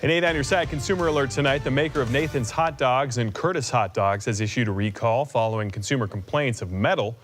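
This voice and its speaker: A man with an American accent presents scripted news as an anchor. His voice is mid-range in pitch. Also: fast, hardly any pauses, soft background music. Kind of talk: scripted newscast reading.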